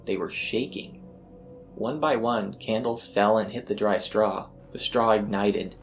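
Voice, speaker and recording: A man reads a scripted horror tale in the first person. His voice sits fairly low and carries a gravelly fry. Faint eerie ambient music plays behind the narration. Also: steady tone, a little slow.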